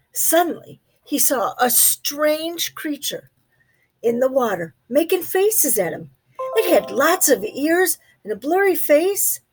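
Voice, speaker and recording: A woman reading a children's storybook aloud expressively, pausing to put questions to young listeners. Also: sits high, poor audio. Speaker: storybook reader. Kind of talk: children's read-aloud narration.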